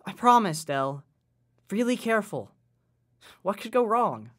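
A man's voice: high voice, very animated, unhurried, fairly bright tone, full character voice. A man playing an earnest, worried working-class boy in a nostalgic period audio drama, with folksy old-time slang and an eager, sincere manner. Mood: earnest, reassuring.

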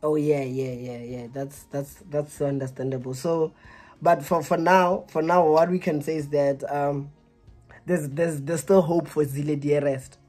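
A man's voice: on the high side, level tone, measured pace, darkish tone, faint music behind. A man in his twenties in a casual phone conversation, hesitant and repetitive, pleading, recorded close up in a small room. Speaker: vlogger making a prank call.

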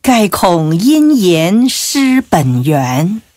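A woman giving a solemn, measured and expressive recitation of poetry. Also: on the low side, no echo. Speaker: verse reciter.

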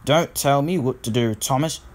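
A man's voice: medium pitch, normal speed, a little mellow, natural voice. A man voicing a rude, headstrong young new engine in a children's story, defiant and boastful.

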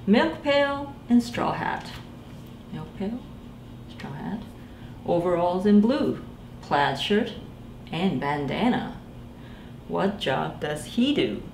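A woman in her forties, a children's librarian, reading a picture book aloud in a gentle sing-song way and asking the children to guess. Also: lowish voice, animated, takes it slowly, creaky, pauses often, reverberant, slight background noise. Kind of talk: storytime read-aloud for young children. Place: small library room indoors.

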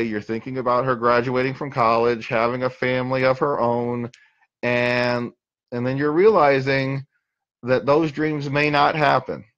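Slow, dry-humored man on a lo-fi webcam. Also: medium pitch, a bit bright. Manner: slow, rambling, dry self-mocking humor. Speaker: therapist. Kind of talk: unscripted talk to webcam. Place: small dim room indoors. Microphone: built-in webcam mic. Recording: dull lo-fi webcam audio.

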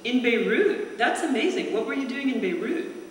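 A woman's voice: fairly high pitch, animated, moderate pace, recorded from a distance, a little hiss or hum. A woman asking curious, probing questions as an interviewer. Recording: echoey gallery playback heard from afar.

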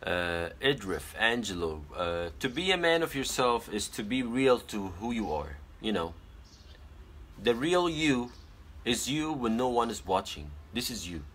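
A young man whose first language is Arabic, chatting casually on a phone live stream from his bedroom. He reads out viewer comments and gives earnest advice, pausing often on fillers. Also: medium pitch, expressive, fairly bright tone. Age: young adult. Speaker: pageant titleholder on a live stream. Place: small bedroom indoors. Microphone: phone camera at arm's length.

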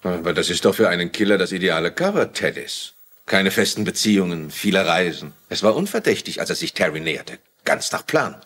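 Deep, husky police detective. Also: male voice, lively intonation, fairly quick, a bit bright. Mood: dry, reasoning. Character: dry, sceptical male police detective. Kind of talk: dubbed TV crime drama acting.

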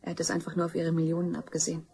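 Young female heiress: breathy-rough voice, measured, steely, radio crime play. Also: deep voice, monotone, warm tone, full character voice. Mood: cool, measured. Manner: measured, storytelling, unyielding. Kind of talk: German radio crime drama acting.

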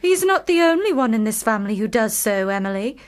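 A woman's voice: on the high side, big pitch swings, a little fast. An actress in a radio play as a proper young woman, a prim and composed older sister given to admonishing. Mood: reproving.

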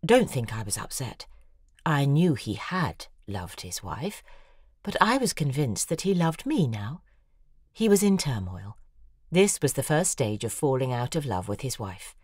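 A woman playing an intense, obsessive lover in an audio drama, giving an intimate confessional monologue in a breathy, husky voice. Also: a bass voice, sing-song, unhurried, a little mellow, dry recording, clean studio sound, exaggerated voice. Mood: anguished, intense.

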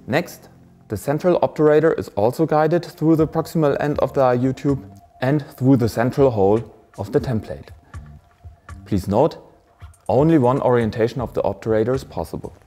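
Mellow-voiced man, formal product presenter. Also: mid-range voice. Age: 30s. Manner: formal, measured, step-by-step delivery. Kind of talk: scripted instructional narration.

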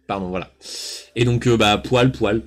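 A man's voice: fairly low pitch, moderate pace. A man in his thirties from south-east France with a faint southern accent, chatting casually and rambling with many fillers on a live cooking stream into a headset mic. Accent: southern French, faint. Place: home kitchen and small room.

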